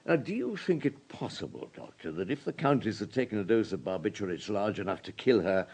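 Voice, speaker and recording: An actor in a radio drama playing a police superintendent with a commanding, probing manner. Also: male voice, on the low side, very animated, fairly quick, a little mellow, husky, full character voice. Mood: brisk, commanding. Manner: commanding, questioning, hesitant murmurs between orders. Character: authoritative male French police superintendent, brisk and probing. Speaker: detective superintendent.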